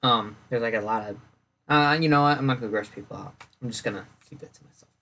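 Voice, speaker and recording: Stuffy-nosed guy doing a solo podcast, lots of uhs and likes, mid pitch. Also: fast talker, bright, forceful tone. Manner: rambling, self-correcting, lots of fillers. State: congested, phlegmy. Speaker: podcast host. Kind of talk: casual solo podcast talk.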